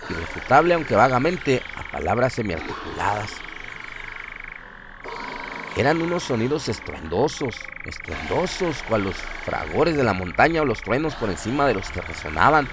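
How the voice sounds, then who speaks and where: A man narrating a horror audio drama in a solemn, literary, deliberate storytelling manner. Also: medium pitch, noisy background. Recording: loud music bed behind voice.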